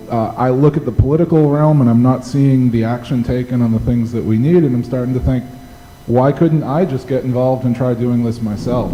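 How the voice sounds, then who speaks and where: A man's voice: mid-range voice, warm tone. A man giving a personal account as a local politician and activist at a public panel discussion. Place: indoor public library event hall. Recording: echoey hall, faint noise.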